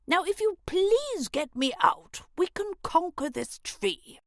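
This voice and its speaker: Storybook: woman voicing cranky little male grub, gruff and bossy, pitched very high. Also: sing-song, slow, no room sound, studio quality, heavily acted. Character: grumpy gruff male caterpillar grub, tiny.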